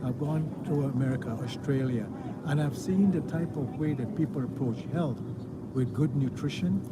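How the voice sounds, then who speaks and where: A man's voice: medium pitch, normal speed. Tired older man explaining health earnestly. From Trinidad. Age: 70s. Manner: earnest, educating, steady. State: a little tired. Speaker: naturopathic doctor guest. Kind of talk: live-streamed interview. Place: auditorium. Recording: loud background noise.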